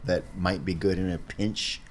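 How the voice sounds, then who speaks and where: Deep-voiced man playing a tabletop game. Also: little pitch movement, moderate pace, a little hiss or hum. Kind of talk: casual tabletop game chat.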